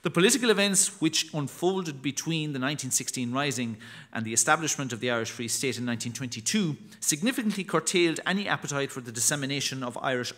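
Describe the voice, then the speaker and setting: Man, 30s, lecturer at a chapel lectern mic; bright, measured, formal delivery of a read paper. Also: mid-range voice. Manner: formal, measured reading with occasional restarts. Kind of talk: reading a prepared academic talk. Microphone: lectern microphone.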